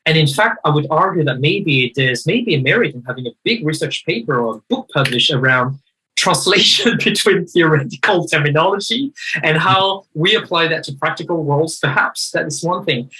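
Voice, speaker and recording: A man in a conversational discussion, talking in an animated, emphatic way and often rephrasing mid-sentence. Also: fairly high pitch, moderate pace, breathy edge, rough recording. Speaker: guest speaker.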